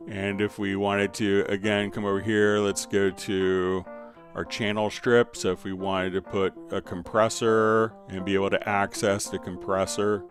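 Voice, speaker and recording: A man hosting a live stream, talking viewers through software in a casual, conversational, explanatory way. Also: fairly low pitch, little pitch movement, unhurried, over music. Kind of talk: casual live tutorial and chat.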